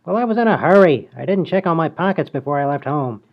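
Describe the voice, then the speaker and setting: A man's voice: a little high, lively intonation, fast, lo-fi sound. A man playing a naive, bungling small-time crook in a radio comedy, defensive and full of excuses. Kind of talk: comedy radio play acting.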